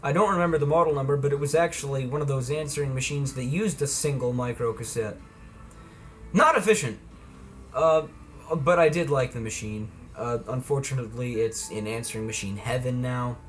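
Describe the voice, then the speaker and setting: A man's voice: mid-range voice, brisk, soft background music. A man giving a casual, folksy and playful product demonstration in a homemade video. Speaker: vlogger presenting vintage electronics.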